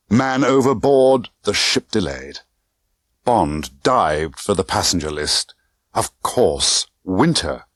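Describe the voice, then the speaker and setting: Male narrator for spy thriller audiobook: low register, highly animated range, dry close sound, suspenseful clipped phrasing. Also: no echo. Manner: tense, theatrical delivery with clipped short phrases. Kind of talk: dramatic scripted narration of a spy thriller novel.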